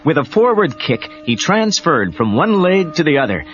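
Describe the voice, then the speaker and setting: A man's voice: a little high, sing-song, moderate pace. A man narrating a scripted children's read-along story as the storyteller. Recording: dull cassette tape under music. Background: music.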